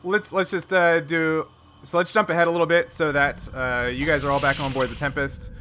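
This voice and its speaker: Bright-voiced man running a tabletop game. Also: fairly high pitch, little pitch movement, a little fast. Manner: conversational, checks with players. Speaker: game master. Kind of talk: casual tabletop game narration. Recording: faint background noise.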